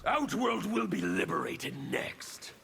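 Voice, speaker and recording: A man voicing a fanatical, defiant revolutionary warrior in a video game, with a gravelly, menacing, declamatory delivery. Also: sits high, huge pitch swings, slow pace, bright, forceful tone, slight background noise, exaggerated voice. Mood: fervent, defiant. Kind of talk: video game character dialogue.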